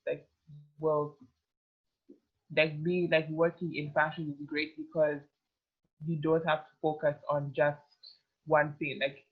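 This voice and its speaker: A woman's voice: low voice, flat delivery, slow, pauses often, breathy edge. Tinny-sounding young woman, rambling. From Uganda. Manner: rambling, heavy use of "like" fillers. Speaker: guest, fashion model. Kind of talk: casual interview chat. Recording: tinny phone-like call audio.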